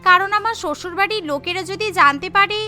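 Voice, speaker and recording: A woman's voice: very high-pitched, a little fast, sharp tone, soft background music, full character voice. A woman voicing a vain, boastful young wealthy bride with a haughty, showy manner in a cartoon. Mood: snobbish.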